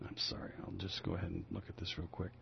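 An older man with a growly, gravelly voice hosting a casual talk radio show, rambling with many fillers and restarts. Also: low voice, monotone, fast, slight background noise. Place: radio studio. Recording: dull lo-fi radio broadcast.

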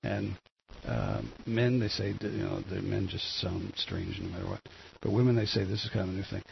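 An older man with a gravelly voice, hosting a rambling solo commentary on the news, full of fillers and restarts. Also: fairly low pitch, very animated, moderate pace. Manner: meandering, many fillers and restarts. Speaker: solo show host. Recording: dull lo-fi with faint noise.

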